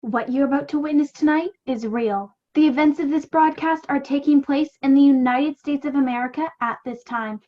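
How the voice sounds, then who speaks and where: A woman's voice: high-pitched, moderate pace, few pauses, lightly acted. A young woman playing a self-important, exasperated radio play director in a scripted comedy, with a pompous announcing manner. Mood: grandly announcing. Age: young adult. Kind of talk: scripted comedy stage acting. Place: room at home. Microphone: video call. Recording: dull, lo-fi video call audio.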